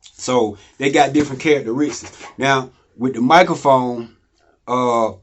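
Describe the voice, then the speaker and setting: A man, casually explaining home studio gear in a loose, rambling way that keeps asking "you know what I mean?". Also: measured pace. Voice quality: deep voice. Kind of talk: casual how-to talk.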